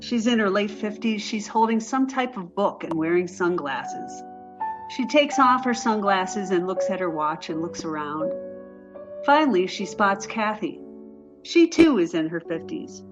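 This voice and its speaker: A woman's voice: mid-range voice, expressive, a little slow, lo-fi sound, faint music behind. A woman reading stage directions as the narrator of a play, in scripted narration.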